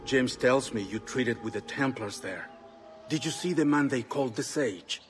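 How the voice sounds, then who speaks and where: A man playing the stern, solemn leader of an assassin order in a video game, formal and measured. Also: medium pitch, soft background music, close to an everyday voice. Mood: probing, grave. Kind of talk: dramatic video game acting.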